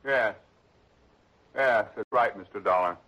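An actor playing a blunt, self-assured business partner in a 1960s radio drama. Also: male voice, lowish voice, a little slow. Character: blunt middle-aged businessman, dead man's partner. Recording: dull lo-fi old radio broadcast.

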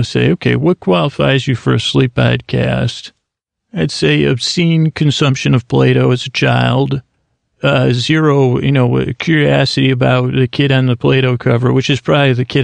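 Male sleep-podcast host, heavily gravelly creaky voice, dry close sound, meandering and self-deprecating. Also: mid-range voice, dry recording. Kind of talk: rambling bedtime talk.

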